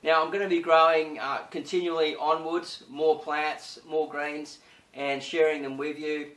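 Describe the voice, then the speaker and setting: Aussie guy chatting about his garden, bit croaky, lots of uh's, echoey and a little far from the mic. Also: a little high, little pitch movement, measured pace, fairly bright tone. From Australia. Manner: chatty, many "uh" and "you know" fillers. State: slightly croaky throat. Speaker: gardening channel host. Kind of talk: casual gardening tutorial.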